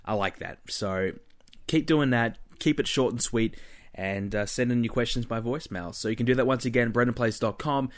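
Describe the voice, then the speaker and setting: A man hosting a podcast solo, chatting informally and bluntly to his listeners. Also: on the low side. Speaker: podcast host. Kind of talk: casual solo podcast talk.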